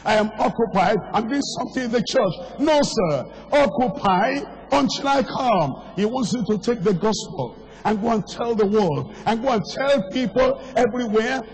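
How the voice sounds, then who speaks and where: An older man preaches an urgent evangelistic sermon in a high, strained voice that is hoarse and giving out. He repeats his phrases and presses listeners with questions, his words ringing with the long reverb of a large hall. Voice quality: strained, worn voice. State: hoarse, voice going. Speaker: pastor.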